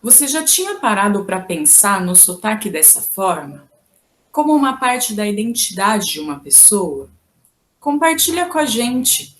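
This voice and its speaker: Slow-paced woman teaching a video lesson, unhurried and deliberate in every sentence. She is from Brazil and explains points patiently, stopping to ask the viewer questions. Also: fairly high pitch, slight background noise. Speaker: Portuguese teacher.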